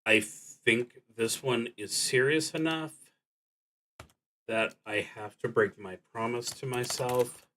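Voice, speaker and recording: A man streaming a solo tabletop roleplaying game, narrating and thinking aloud in a halting, deliberate way with long pauses. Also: mid-range voice, steady tone, sharp tone, slight background noise.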